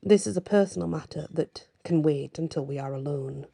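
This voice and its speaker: A woman voicing a blunt, cryptic male magical mentor in a storybook reading, with measured, grave statements. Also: low voice, vocal fry, mellow, breathy edge, heavily acted. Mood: stern. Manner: measured, speaks in riddling statements.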